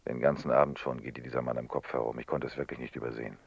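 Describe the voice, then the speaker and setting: An actor playing a worldly, gallant telepathic police prefect in a radio play, with a measured, insistent manner. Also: male voice, rumbling low, steady tone, creaky, breathy edge, no room sound, exaggerated voice. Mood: probing, warning. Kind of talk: German radio play acting. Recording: dull, dry studio radio recording.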